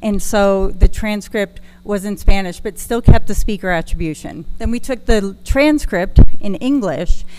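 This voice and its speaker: A woman's voice: medium pitch, a little slow, hardly any pauses, darkish tone, poor audio. A woman co-presenting an informal, interactive session at a conference.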